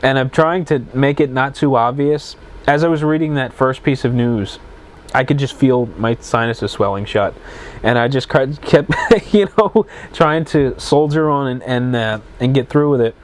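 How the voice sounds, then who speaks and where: Solo podcast host, male, stuffed-up nasal congestion, middle pitch, rambling and self-correcting while reading news. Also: normal speed. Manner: rambling, self-correcting, stumbles over words. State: congested, plugged sinuses.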